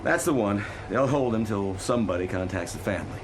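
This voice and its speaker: An actor playing a matter-of-fact, resigned responder in a radio drama, gruffly practical in manner. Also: male voice, fairly low pitch, expressive, fairly quick, background noise, natural voice.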